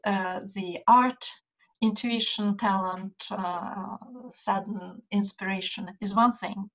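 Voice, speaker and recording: An older woman from Russia, a writer and translator whose first language is Russian, speaking English with a Russian accent in a measured, hesitant way on a video call from her home study. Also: medium pitch. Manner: measured, thoughtful, many hesitations. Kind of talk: conversation over video call. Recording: dull lo-fi video call audio.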